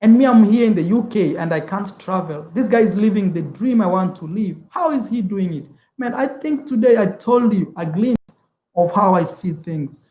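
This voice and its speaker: A man's voice: sits high, animated, moderate pace, warm tone, echoey room, lo-fi sound. A young man from East Africa whose first language is Swahili, chatting casually on a travel livestream, rambling, repeating words and calling the viewer "man". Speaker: travel vlogger.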